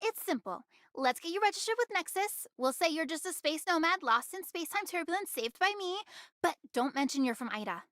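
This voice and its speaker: Bright, bossy anime game heroine. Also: female voice, very high-pitched, lively intonation, no echo, studio quality. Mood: serious. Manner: commanding, brisk, teasing. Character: bossy, sly young woman, streamer employer.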